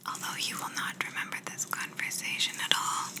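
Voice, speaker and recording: Whispering, smug female alien examiner played by a woman. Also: lightly acted. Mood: reassuring, explanatory. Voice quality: soft, breathy whisper. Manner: unhurried, fussy, mildly condescending asides. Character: smug, chatty female alien examiner, clinical yet reassuring. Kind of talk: ASMR personal-attention sci-fi roleplay.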